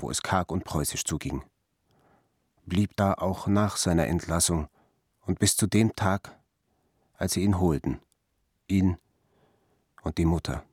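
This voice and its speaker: Low-voiced man narrating literary prose. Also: darkish tone, husky, no room sound, clean studio sound. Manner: measured, declarative.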